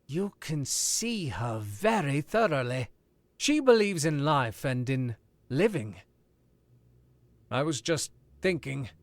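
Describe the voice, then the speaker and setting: A suave, ironic author, as an actor plays him in an old-time radio thriller. He speaks slowly and coolly, with a lively voice that rises and falls. The mic is close and dry. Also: medium pitch, dry recording. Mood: reflective. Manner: cool, measured, ironic. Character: suave, probing older male author. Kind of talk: 1940s radio acting.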